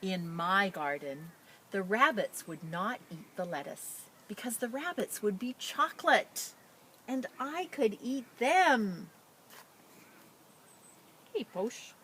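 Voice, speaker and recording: A woman who works as a teacher librarian, talking and reading a picture book to children in an expressive, enthusiastic way. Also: medium pitch, slow pace, slight background noise. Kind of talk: children's story read-aloud with casual introduction.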